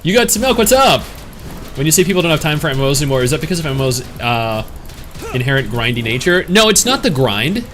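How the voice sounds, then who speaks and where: A man's voice: a little high, sing-song, quick pace, a bit bright. A man streaming, chatting casually and opinionated as he reads and answers his live chat.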